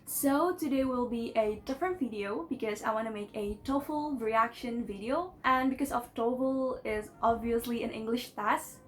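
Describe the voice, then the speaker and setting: Young woman, Indonesian accent, casual vlog chat, higher voice, giggly and rambling, faint music behind. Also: moderate pace, few pauses. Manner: chatty, giggly, many fillers like "like" and "you know". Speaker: vlogger.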